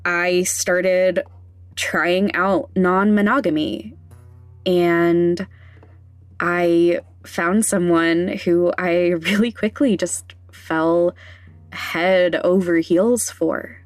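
A woman hosting a podcast, talking candidly and casually in a hesitant, halting, self-conscious way. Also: lowish voice, fairly even intonation, no echo, soft background music. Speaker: podcast host.

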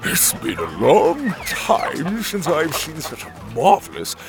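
A man voicing a giant, vain male chicken chief who fusses over clothes, speaking in a drawn-out, haughty way. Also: a little high, huge pitch swings, over music, voice pushed up, full character voice. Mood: appraising. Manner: drawn-out, haughty, slow and swooping. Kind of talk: storybook character voice.

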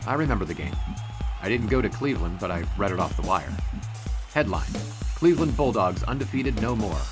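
A low-pitched male sportswriter of the 1920s, played by an actor, earnest and dryly skeptical. He talks in florid newspaper phrases in an audio drama, with music playing behind him. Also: lively intonation, brisk, lightly acted. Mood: crisp reporting. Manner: wordy newspaper phrasing, dry.

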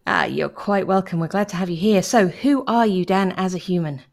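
A woman's voice: on the low side, normal speed, gravelly. A woman hosting an interview podcast, chatty and upbeat, with enthusiastic interjections. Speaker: podcast host. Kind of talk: casual interview chat.

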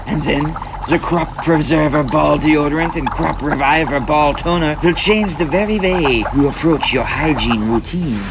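A man plays a fussy, eager salesman with a highish, gravelly voice. He pitches in grand, formal, slightly clumsy phrases for a comic advertisement, over clear background noise. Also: normal speed, few pauses, natural voice. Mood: grandly enthusiastic. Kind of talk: comic scripted ad read in character.

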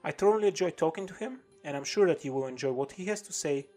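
A man hosting a podcast, reading a formal intro and asking interview questions in a measured way. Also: fairly high pitch, animated, darkish tone, soft background music. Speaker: podcast host.

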